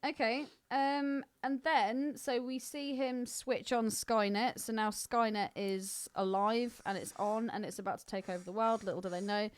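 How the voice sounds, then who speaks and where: High-pitched British woman, cheeky podcast banter. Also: fairly even intonation, moderate pace, few pauses, clean tone, dry recording. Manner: cheeky, teasing, bawdy banter. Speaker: podcast co-host. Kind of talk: casual film commentary chat.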